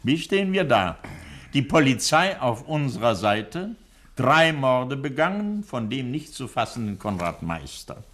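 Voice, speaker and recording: A man's voice: medium pitch, big pitch swings, unhurried, fairly bright tone. A smug criminal doctor in a vintage radio thriller. His voice sits mid-range and swings widely. He sounds theatrical, commanding and menacing. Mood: smug gloating. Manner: theatrical, self-satisfied, commanding. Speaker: villain in a radio crime play. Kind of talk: 1960s German radio drama acting.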